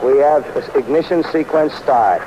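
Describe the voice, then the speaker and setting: Slow male launch announcer through loud noise. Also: fairly high pitch. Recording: dull archive radio feed, loud rocket roar.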